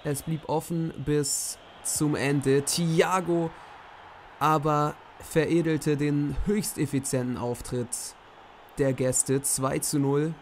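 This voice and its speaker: Hoarse guy doing football recap commentary, bit of fry in his voice, lively. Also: on the high side, slow pace, slight background noise. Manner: lively play-by-play summary. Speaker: host commentating simulated matches. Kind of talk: match summary commentary.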